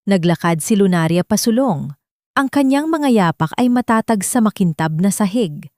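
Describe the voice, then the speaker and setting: A woman's voice: mid-range voice, lively intonation, moderate pace, a little mellow, dry recording. Filipino woman narrating, close dry sound. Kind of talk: scripted dramatic audio story narration.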